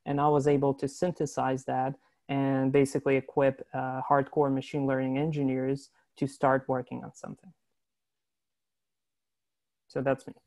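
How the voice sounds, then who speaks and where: Flat-voiced man with a lilt. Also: medium pitch, dry recording. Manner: flat, measured, pauses with fillers. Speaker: entrepreneur, founder. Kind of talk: casual video call intro. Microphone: video call.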